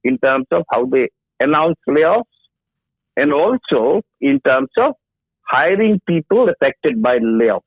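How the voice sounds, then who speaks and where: A man in his sixties, a guest with a background in HR, explaining slowly and haltingly during a phone interview. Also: a little high, very animated, husky, dry recording. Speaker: HR industry guest. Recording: dry tinny phone line.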